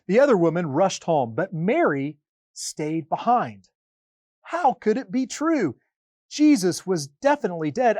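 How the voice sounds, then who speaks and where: A man reading a children's Bible story aloud as a priest, with dramatic, deliberate phrasing and pauses. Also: sits high, darkish tone, no room sound, studio quality.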